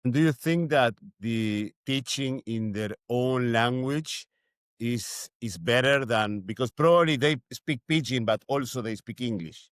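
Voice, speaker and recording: A man hosting a casual interview in halting, non-native English, often repeating words as he builds his questions. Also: medium pitch, dry recording. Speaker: podcast host, interviewer.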